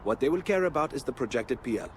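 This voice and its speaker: A breezy businessman played by an actor with an animated, mid-pitched voice. He is casual and reassuring, as in game background chatter. Faint urban noise is behind him. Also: fast talker, natural voice. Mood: encouraging. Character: breezy, confident male office worker coaching a colleague. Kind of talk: video game background dialogue.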